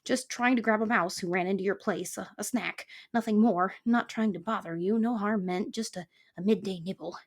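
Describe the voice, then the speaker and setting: A woman's voice: medium pitch, normal speed, natural voice. A woman voicing a cowardly male fox in a storybook, sniveling and apologetic. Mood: cringing, apologetic.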